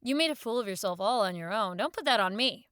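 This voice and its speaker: A woman's voice: mid-range voice, expressive, fast, a bit bright, clear, resonant tone, no room sound, clean studio sound, lightly acted. A woman playing a tough, no-nonsense gang member in an audio drama, blunt, exasperated and scolding.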